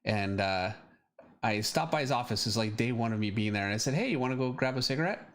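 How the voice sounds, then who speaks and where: A man's voice: medium pitch, fast. Casual Canadian man chatting while drawing. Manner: relaxed and rambling, with many fillers and restarts. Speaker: comic artist drawing on a live stream.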